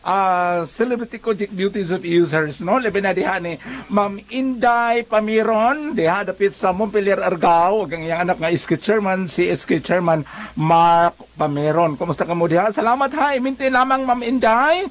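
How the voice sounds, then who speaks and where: A man with a Cebu accent giving live sponsor shout-outs as a radio host. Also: high voice, moderate pace.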